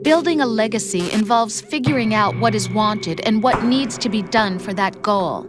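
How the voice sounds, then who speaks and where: An actress playing a cruel artificial intelligence posing as a scornful young girlfriend in a video game, speaking with deliberate reproach. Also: medium pitch, music in the background, natural voice. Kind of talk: dramatic game cutscene acting.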